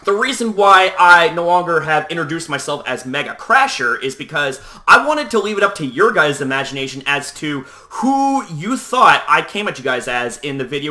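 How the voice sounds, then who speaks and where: A young man hosting a video channel, talking casually to the camera at a deliberately lowered volume, loose and chatty with lots of "you know". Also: bright, forceful tone. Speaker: YouTube host.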